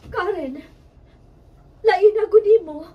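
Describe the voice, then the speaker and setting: A woman's voice: extremely high pitch, slow pace, recorded from a distance, phone-quality sound. A woman playing a concerned best friend in a Cebuano radio drama, earnestly reasoning and admonishing in a firm, pleading way. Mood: pleading, exasperated.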